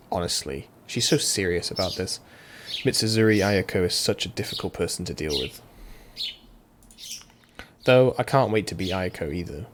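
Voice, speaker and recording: A man with a fairly low voice reads game text theatrically and drops in casual commentary. The home recording is clearly noisy, with birdsong behind him. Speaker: let's-player. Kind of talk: reading game dialogue aloud with casual commentary.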